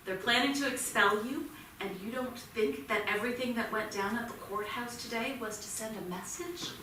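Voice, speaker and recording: Stern, anxious mum, played by a stage actress, high-pitched, naturalistic, in a very reverberant hall. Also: female voice, moderate pace, lightly acted. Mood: grave, firm. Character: worried, stern mother confronting her arrested teenage son. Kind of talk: staged play reading, naturalistic stage acting. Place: theatre stage at a staged reading. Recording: echoey theatre, distant mic, clear noise.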